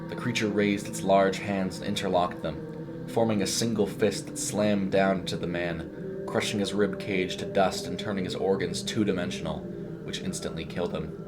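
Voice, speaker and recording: A man's voice: sits low, steady tone, normal speed, loud music behind the voice. A man reading a scripted horror short story as its narrator.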